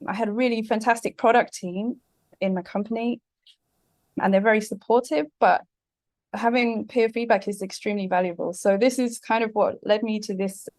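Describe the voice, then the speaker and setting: A woman with a southeast London accent gives a talk as a guest speaker. Her delivery is relaxed and conversational, full of "um"s and restarted sentences, at a middling pitch. The recording is dry and close, with no room sound. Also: normal speed, dry recording. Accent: British, southeast London. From England. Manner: casual, with frequent fillers and restarts. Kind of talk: presentation talk.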